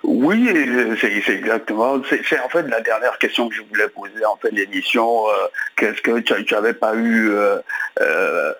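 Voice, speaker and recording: Male caller on the phone, lowish voice, halting delivery. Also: fairly bright tone, low-quality recording. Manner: halting, full of hesitations. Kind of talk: call-in radio question. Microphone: telephone.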